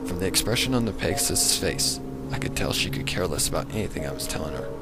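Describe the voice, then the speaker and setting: A man reading a dark story aloud as a dramatic first-person narrator. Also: low-pitched, lively intonation, fast talker, heavy background noise. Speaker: fan fiction narrator. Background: prominent music.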